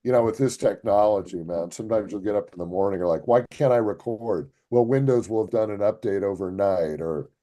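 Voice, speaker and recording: A man's voice: lowish voice, brisk, hardly any pauses, warm tone. A man co-hosting a podcast as a voice actor, talking earnestly and encouragingly in casual chat.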